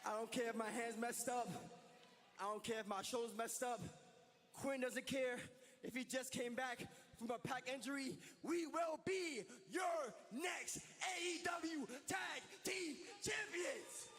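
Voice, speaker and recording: A man's voice: extremely high pitch, measured pace, a bit bright, shouting, a little hiss or hum. A man cutting a brash, trash-talking wrestling promo into a handheld mic in a large indoor arena. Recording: arena mic with long reverb.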